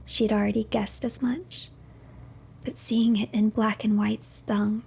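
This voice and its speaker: A woman streamer narrating a game playthrough as a third-person story, with a hushed, dramatic storyteller's delivery and a creaky voice. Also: medium pitch, little pitch movement, measured pace, slight background noise.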